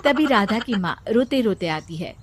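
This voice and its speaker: A woman's voice: medium pitch, animated, moderate pace, very noisy. A woman reading scripted narration for a moral-story cartoon as its storyteller.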